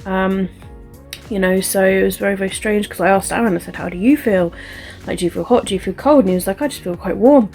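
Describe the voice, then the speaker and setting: A young woman talks to her camera in a loose, rambling way, full of fillers and restarts. Her voice sounds stuffy and congested, as if she has a cold. Faint music sits quietly behind her. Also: mid-range voice, fast talker. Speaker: vlogger. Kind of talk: casual vlog chat.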